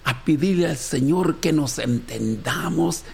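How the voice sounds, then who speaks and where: A man's voice: on the high side, unhurried, vocal fry, breathy edge. A Latin American man preaching an impassioned, off-the-cuff sermon in a repetitive, exhortative way, calling on his listeners as brothers and sisters. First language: Spanish.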